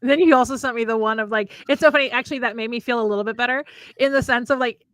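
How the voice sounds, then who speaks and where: Casting: American woman, cheerful storyteller who laughs a lot, a touch high, close and dry mic. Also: racing, dry recording, rough recording. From United States. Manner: chatty storyteller, laughs often. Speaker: podcast co-host, author. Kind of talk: casual chat between friends.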